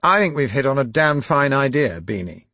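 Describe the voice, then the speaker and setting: A man's voice: medium pitch, expressive, fairly quick, dry recording, voice pushed up, close to an everyday voice. A man voicing an aggrieved, blustering older aristocrat who speaks. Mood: rousing. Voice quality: indignant, blustering.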